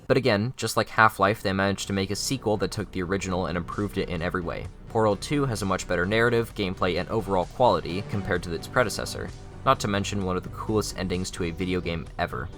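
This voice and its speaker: A young man narrates a scripted video essay. His voice sits low, with faint music underneath. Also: fast talker, a bit bright.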